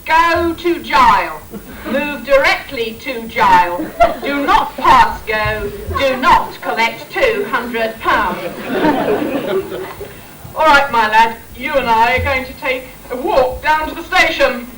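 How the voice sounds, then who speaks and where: A woman's voice: sits high, animated, takes it slowly, over a noisy crowd. A woman playing a stern, officious police constable in an amateur Christmas pantomime. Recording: noisy echoey distant stage recording with audience.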